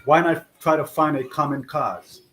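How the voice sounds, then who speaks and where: A middle-aged man from Chile answering as a podcast guest in a modest, earnest and measured conversational way. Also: a little high, fairly bright tone, faint music behind. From born in Chile. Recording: faint background noise.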